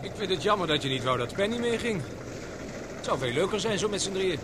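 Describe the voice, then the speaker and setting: A man's voice: sits high, big pitch swings, fairly quick. An actor playing a jealous, touchy young man in a radio drama, needling and provocative. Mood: taunting, jealous. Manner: provocative, taunting. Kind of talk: Dutch radio drama acting. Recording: loud motorboat engine noise behind. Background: boat engine and water.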